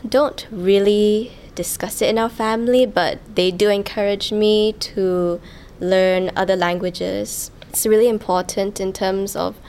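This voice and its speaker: Young woman, student interviewee, slow and halting delivery, mid-pitched voice. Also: steady tone, darkish tone. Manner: slow and halting, with many "um" pauses. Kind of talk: casual interview answers.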